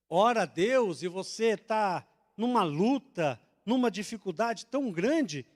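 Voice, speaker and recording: High-voiced Brazilian man preaching a sermon. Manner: swings between loud emphatic declarations and quick, low confiding asides; asks the congregation questions and answers them himself. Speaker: pastor. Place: church sanctuary.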